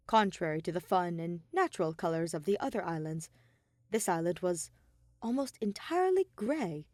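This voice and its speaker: A woman's voice: medium pitch, darkish tone, no room sound, studio quality. A woman reading scripted fiction aloud as the narrator of an audio story.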